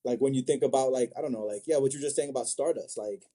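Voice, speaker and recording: A man from Africa chatting casually as an interview guest, thinking aloud in a halting, word-repeating way. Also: medium pitch, mellow, slightly rough. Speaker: manga creator, podcast guest.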